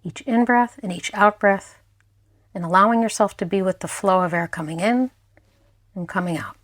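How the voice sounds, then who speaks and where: A woman's voice: medium pitch, dry recording. Woman guiding a meditation, dry close sound. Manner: gentle, unhurried guiding with pauses. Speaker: mindfulness instructor. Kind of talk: guided meditation narration.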